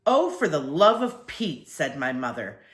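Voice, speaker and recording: An older woman reading as an exasperated, no-nonsense storybook mother. The voice is low and swings emphatically as she grumbles, in an echoey room. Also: very animated, measured pace.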